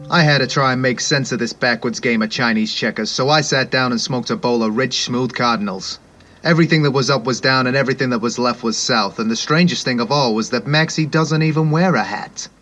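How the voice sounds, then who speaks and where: An actor playing a cocky, wisecracking private detective in a 1950s-style radio drama, full of brash slang. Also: male voice, medium pitch, brisk, a bit bright, dull audio, faint music behind, close to an everyday voice. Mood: brooding narration. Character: hard-boiled cocky male private detective, wisecracking and gruff.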